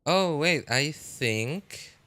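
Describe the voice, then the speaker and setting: A man's voice: fairly high pitch, expressive, very slow, bright, forceful tone, no echo. A man in his thirties chatting casually with stream viewers, rambling with many fillers and restarts and chuckling often. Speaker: live game streamer.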